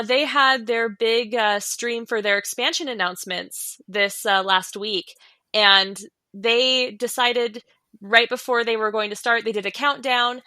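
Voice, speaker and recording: A woman with a clearly bright, mid-pitched voice co-hosts a casual podcast. She speaks in a chatty, everyday style. The sound is dry and close. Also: no echo. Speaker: podcast co-host.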